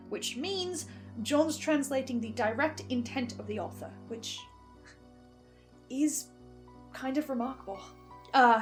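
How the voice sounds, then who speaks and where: Female host for story recap commentary: high-pitched, animated and self-questioning, over a music bed. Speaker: host of a podcast listener recap series. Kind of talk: first-person analytical commentary on an audio drama.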